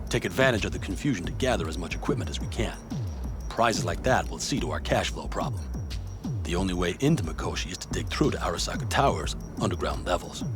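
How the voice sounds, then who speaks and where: An actor playing a practical, commanding male nomad leader in a video game, giving a measured, businesslike briefing. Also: sits low. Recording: music behind the voice.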